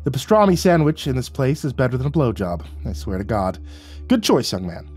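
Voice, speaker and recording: A man's voice: medium pitch, very animated, a little mellow, slightly rough. A man voicing a small, weathered, boastful, talkative mob lawyer in an audiobook. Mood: smooth politeness. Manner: fast-talking, boastful. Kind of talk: audiobook character voice. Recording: music behind the voice.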